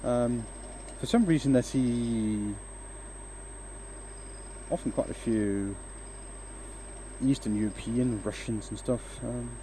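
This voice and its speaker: Man from Denmark, livestream host, casual workshop chat, mellow tone, faint background noise. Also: medium pitch, slow, long pauses, low-quality recording. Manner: chatty, hesitant, talks to viewers while working. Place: home workshop with a lathe.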